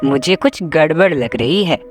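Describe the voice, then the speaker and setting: A woman's voice: sits low, expressive, unhurried. A woman voicing a young cartoon boy, a teasing older brother who spooks easily. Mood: curious, wary. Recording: dull lo-fi sound, music behind.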